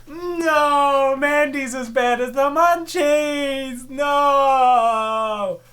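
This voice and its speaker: A man's voice: extremely high pitch, extremely slow, bright, forceful tone. A man narrating a comic dub with casual, sarcastic commentary and exclamatory reactions.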